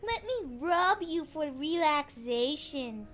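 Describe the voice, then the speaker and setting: Swooning, melodramatic lady of colonial times, very high-pitched, drawn-out delivery. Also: animated, fairly bright tone, full character voice. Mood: lustful. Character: swooning, lustful, fretful colonial ladies, high feminine voice.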